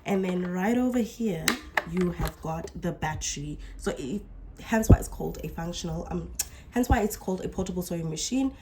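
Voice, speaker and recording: A woman's voice: lowish voice, moderate pace, noisy background. A young woman hosting a casual sewing tutorial, chatty and correcting herself, a little unwell with a coming flu. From South Africa. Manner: chatty, self-correcting explainer. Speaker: sewing channel host.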